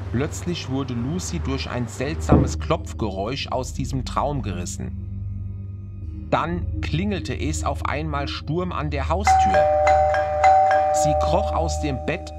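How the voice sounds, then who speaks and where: Male narrator for scary tales, slightly higher voice, unhurried dramatic delivery, prominent music underneath. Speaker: horror story narrator. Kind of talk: scripted horror narration in German.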